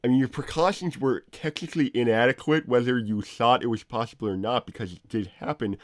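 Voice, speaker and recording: A man playing a nerdy, moralizing cartoon inventor creature in improvised banter, halting and pedantic, often hedging as he argues. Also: medium pitch, dry recording, studio quality, lightly acted. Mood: evasive, defensive. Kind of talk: improvised in-character livestream banter.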